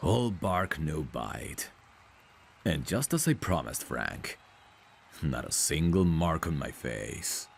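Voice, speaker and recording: A man's voice: very deep voice, vocal fry, slight background noise, full character voice. A man playing a cocky, smug prizefighter in an ASMR roleplay audio drama, with a boastful, teasing, self-assured manner. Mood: triumphant, boastful. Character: cocky, smug male prizefighter, proud of his looks. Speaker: voice actor.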